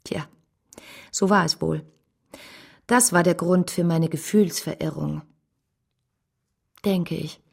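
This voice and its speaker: A woman's voice: on the low side, fairly even intonation, takes it slowly, creaky, pauses often, darkish tone, husky, heavily acted. An actress playing a rueful married woman confiding her past in an intimate radio play monologue.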